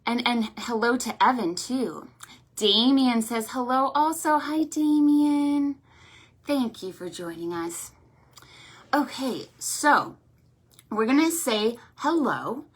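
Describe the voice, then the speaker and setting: Slow-paced woman hosting children's storytime. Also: on the high side, slightly rough. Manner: animated, sing-song, encouraging child-directed talk with frequent check-in questions; affectionate, effusive greetings and thanks. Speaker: children's library storytime host. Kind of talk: children's storytime, talking to young viewers.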